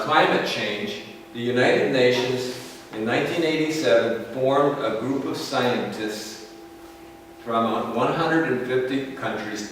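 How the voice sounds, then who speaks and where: An American man giving a slow, explanatory lecture to a school class, often checking in with "okay?". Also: mid-range voice, fairly bright tone, slight background noise. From United States. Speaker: English teacher. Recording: echoey classroom from far off.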